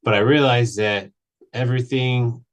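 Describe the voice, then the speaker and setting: A man in his late thirties, from Mexico, telling his personal story on a panel in an earnest, halting way, with many restarts. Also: medium pitch, steady tone. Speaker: panel speaker. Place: virtual event.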